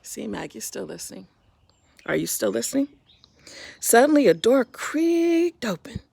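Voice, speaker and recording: A woman reading a children's story aloud in an expressive, simple storytime manner, talking directly to young listeners. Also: on the high side, a little slow, vocal fry, slightly rough, no echo.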